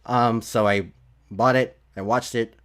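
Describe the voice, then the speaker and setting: A man hosting a casual podcast chat, talking in a halting way and swearing freely. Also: medium pitch, steady tone, a bit bright, clear, resonant tone. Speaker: podcast host.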